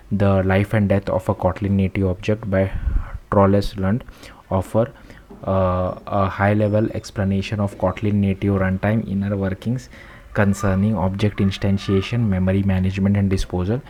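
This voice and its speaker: A man's voice: deep voice, warm tone, a little hiss or hum. A man reading tech news aloud in the manner of a channel host narrating a written article.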